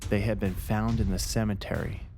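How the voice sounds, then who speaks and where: A man narrating a scary story from a script in measured, deliberate phrases. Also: on the low side, fairly even intonation. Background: background music.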